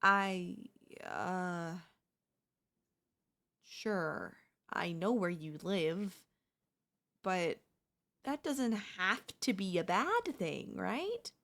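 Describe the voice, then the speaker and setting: Chatty, slow-talking female zombie, acted by a woman, lively pitch. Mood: coaxing, wheedling. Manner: coaxing, casual, conversational reasoning. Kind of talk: ASMR audio roleplay acting, comedic.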